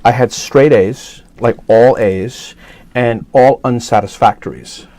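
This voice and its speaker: A man's voice: mid-range voice, takes it slowly, a little mellow. A middle-aged man from New York City talking casually as an interview guest, rambling with many fillers and restarts. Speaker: guest actor.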